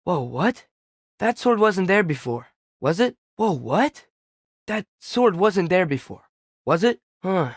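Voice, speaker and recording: A man's voice: sits high, big pitch swings, slow, slightly rough, no echo, clean studio sound, heavily acted. A young man playing an eager, earnest, curious teenage boy, a companion in a video game, fighting beside his father. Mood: surprised.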